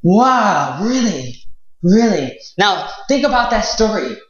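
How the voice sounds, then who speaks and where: A young adult man, an English teacher, tells an improvised fairy tale to learners in an animated, halting way, repeating phrases. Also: high voice. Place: small indoor room with whiteboard. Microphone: clip-on lapel mic. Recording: very echoey room, slightly dull sound.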